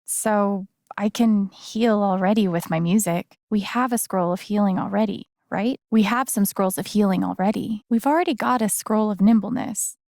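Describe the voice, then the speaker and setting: Woman voicing young video game heroine, casual n sincere, gentle, bit wry, mid pitch, super dry sound. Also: moderate pace, few pauses, no room sound, close to an everyday voice. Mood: casual, thoughtful. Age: young adult. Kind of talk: naturalistic video game voice acting.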